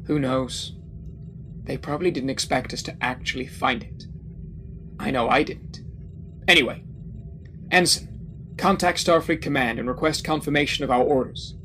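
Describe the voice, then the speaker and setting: Calm starship commander played by a man. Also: medium pitch, normal speed, long pauses, soft background music, natural voice. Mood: calm, assured. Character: calm, self-assured male starship commander. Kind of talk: audio drama acting.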